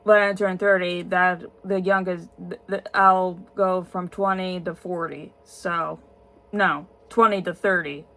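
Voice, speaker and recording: Tired young woman, halting speech. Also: fairly low pitch, fairly even intonation, fairly bright tone, low-quality recording. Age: 20s. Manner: halting, many ums and restarts. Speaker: YouTuber answering viewer questions. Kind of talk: casual solo Q&A vlog.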